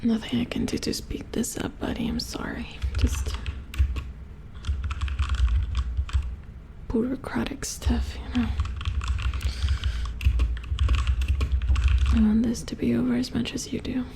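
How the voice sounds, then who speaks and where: A woman playing a weary, puzzled robot repair engineer in a soft-spoken ASMR horror roleplay, murmuring hesitantly in a hushed, breathy voice close to the mic. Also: fairly high pitch, level tone, brisk. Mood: apologetic, weary. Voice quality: breathy whisper with fry. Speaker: ASMR performer. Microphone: close ASMR microphone.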